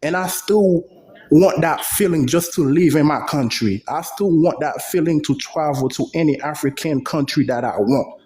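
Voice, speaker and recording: A man's voice: fairly high pitch, normal speed, darkish tone. A man from Haiti on a panel call, making long earnest points in a debate. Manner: earnest, speaks at length in long run-on points. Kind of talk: impassioned debate. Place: at work. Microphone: headphones on a phone call.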